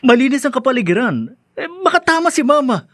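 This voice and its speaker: A man playing an anxious, placating husband who speaks haltingly in a radio drama. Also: very high voice, sing-song, fairly bright tone, dry recording, clean studio sound, heavily acted. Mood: defensive, pleading. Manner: hesitant, halting. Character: anxious, placating husband torn between wife and mother. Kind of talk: Tagalog radio drama acting.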